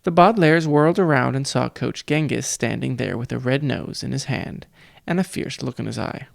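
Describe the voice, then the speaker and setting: A man reading a children's audiobook, narrating in a measured, deliberate way. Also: mid-range voice, creaky, no echo. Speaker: audiobook reader.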